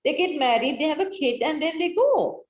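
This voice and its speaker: A woman's voice: sits high, lively intonation, moderate pace. Sri Lankan-accented woman in an echoey room. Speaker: interview guest, business leader. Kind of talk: conversational interview. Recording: echoey, roomy sound.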